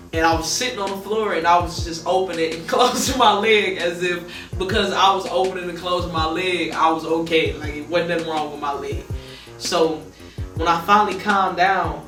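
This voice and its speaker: A young woman from with a deep, monotone voice tells a personal story in a relaxed, rambling documentary interview. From Detroit. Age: young adult. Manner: laid-back, rambling, heavy with fillers. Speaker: college basketball player. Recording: roomy echo, distant mic, music behind.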